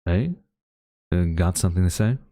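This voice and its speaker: A man's voice: deep voice, animated, warm tone, dry recording, clean studio sound. A man acting a young university student in a romantic audio roleplay, a defensive, secretly smitten rival who grumbles and gets flustered. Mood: hesitant, nervous. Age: young adult. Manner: flustered, grumbling, halting denials.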